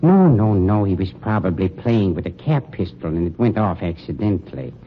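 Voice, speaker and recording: A man's voice: low voice, big pitch swings, normal speed. An actor playing a polished, scheming funeral director who talks down to his night man, in 1940s radio drama style. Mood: menacing. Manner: smooth, condescending, sneering. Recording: dull, noisy old radio recording.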